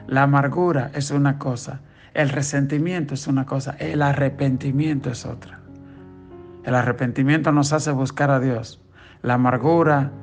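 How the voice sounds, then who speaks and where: A man with a southern Dominican accent, a pastor giving a daily devotional sermon, reading scripture and explaining it in a conversational preaching tone. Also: medium pitch. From southern Dominican Republic.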